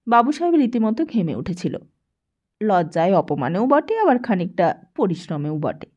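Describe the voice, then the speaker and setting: A woman reading a literary story aloud as an expressive audiobook narrator. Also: mid-range voice, normal speed. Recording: dull lo-fi sound.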